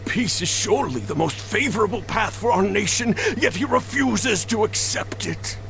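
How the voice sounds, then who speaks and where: An actor playing a wise old general and royal counselor in a video game's stage play, grave, eloquent and declamatory. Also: male voice, high voice, lively intonation, normal speed, creaky, a bit bright, exaggerated voice. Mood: anguished. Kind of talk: theatrical stage-play acting in a video game. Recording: music behind the voice.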